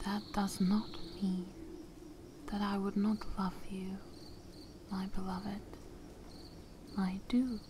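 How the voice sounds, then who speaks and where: A woman playing a seductive, vengeful vampire mistress in an ASMR roleplay, cruel yet loving, speaking in a breathy, often whispered voice with theatrical pauses. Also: mid-range voice, flat delivery, background noise, lightly acted. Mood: cold, resolute. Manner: slow, theatrical, with long pauses.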